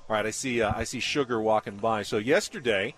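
A man's voice: fairly low pitch, fairly quick, a bit bright. An American man hosting a live sports radio interview on location at a training camp.

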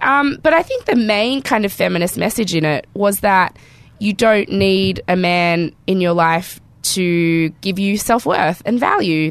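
An Australian woman chats away as a podcast host, hoarse and croaky from a cold, her voice sometimes cracking. She talks with chatty enthusiasm at a mid pitch, recorded in a studio. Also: dry recording. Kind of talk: casual podcast chat.

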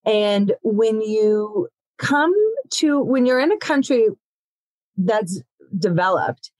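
Woman physician guest, steady explanatory delivery, mid-pitched, dry close sound. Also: takes it slowly, no echo, rough recording. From born in Ethiopia, raised in Africa. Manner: explanatory, steady, frequent "you know". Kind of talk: expert explaining in an interview.

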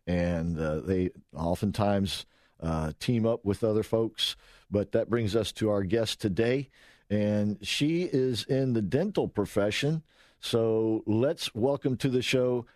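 A man's voice: on the low side, a little mellow, no room sound, studio quality. A man hosting an interview show, easygoing and conversational as he brings on a guest. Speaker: radio show host.

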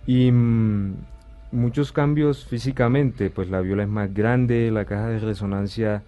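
Mellow low-voiced man explaining. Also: fairly even intonation, a little slow, faint music behind. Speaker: orchestral viola player, interview guest. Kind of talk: explanatory interview talk.

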